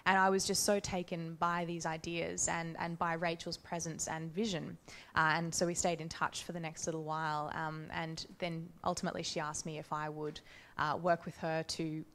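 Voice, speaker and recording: Woman panellist, Australian, low flat voice, measured and reflective. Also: slight background noise. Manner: measured, thoughtful, with frequent restarts. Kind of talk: personal reflection on a conference panel. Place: conference hall.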